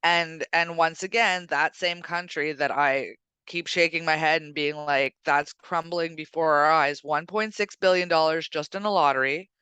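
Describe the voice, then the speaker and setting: A woman's voice: sits low, steady tone, normal speed, bright, forceful tone, clear, resonant tone, no echo. A woman co-hosting a casual current-affairs chat, speaking in an emphatic, sardonic, slangy way. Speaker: co-host.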